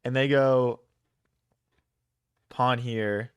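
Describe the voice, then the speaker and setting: A man's voice: medium pitch, steady tone, smooth, no echo. Casual young man streaming chess. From Philadelphia, USA. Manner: thinks aloud, casual slang, quick reactions to chat. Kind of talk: casual live-stream commentary.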